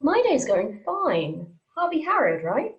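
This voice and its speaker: A woman reading a sardonic, sharp-tongued new journalist in an amateur script read. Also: high voice, sing-song, a little slow, lo-fi sound, exaggerated voice. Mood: cutting.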